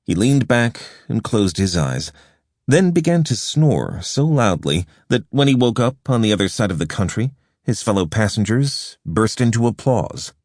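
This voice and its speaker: A man narrating a nonfiction audiobook in a measured, even storytelling delivery, recorded close in a studio. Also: lowish voice, no echo. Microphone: close studio microphone.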